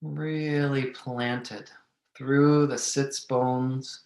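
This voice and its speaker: Slow-paced man guiding meditation in echoey room. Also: medium pitch, fairly even intonation. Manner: measured, gently instructing with long pauses. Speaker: meditation guide. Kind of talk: guided meditation instruction.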